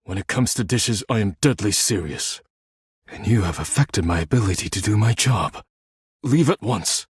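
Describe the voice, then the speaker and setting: A husky, stern dishware guardian. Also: male voice, fairly low pitch, a little mellow, dry recording, studio quality, exaggerated voice. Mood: hurt, cold. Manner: formal, clipped, curt and solemn. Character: stern, proud, duty-bound living dishware caretaker, male. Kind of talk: video game character voice lines.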